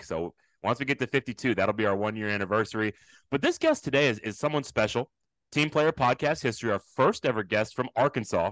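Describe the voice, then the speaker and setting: Male podcast host, quick and chatty, dry close sound. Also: medium pitch, a bit bright, no echo. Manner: upbeat and chatty. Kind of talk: casual podcast interview.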